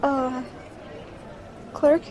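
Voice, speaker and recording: Woman playing jumpy polite lady dodging questions in old radio drama, high voice, hesitant, bit of noise. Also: level tone, extremely slow, a little mellow, some chatter behind, rough recording. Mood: shaken, nervous. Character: nervous, evasive well-dressed woman hiding dread.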